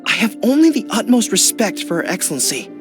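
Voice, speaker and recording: A man's voice: high voice, very animated, a little fast, a bit bright, faint music behind. A man voicing an earnest, loyal young dog-eared general in a video game, sincere and dutiful. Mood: respectful. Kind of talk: game character voice lines.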